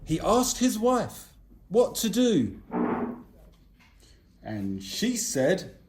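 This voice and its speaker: A man with a fairly high voice narrates a children's story in rhyme. He is theatrical and very slow, with lively swings in pitch. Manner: theatrical, deliberate. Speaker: puppet show narrator and performer.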